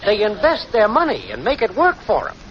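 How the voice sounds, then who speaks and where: An actor playing a brash, slangy small-time schemer boyfriend with an American accent in a 1940s radio comedy. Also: male voice, sits high, huge pitch swings, brisk. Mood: cocky, scheming. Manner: slangy, brash, smooth-talking. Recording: muffled, noisy vintage 1940s radio broadcast.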